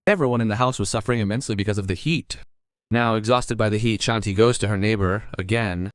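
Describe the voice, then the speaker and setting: A man's voice: lowish voice, fast, no echo, clean studio sound. A man reading scripted narration for a cartoon story, as the narrator.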